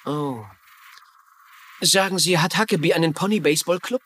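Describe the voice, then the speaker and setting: An actor playing a persistent, polite investigator in a dubbed crime drama, asking probing questions. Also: male voice, on the high side, moderate pace, fairly bright tone. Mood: brisk. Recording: faint noise under dialogue.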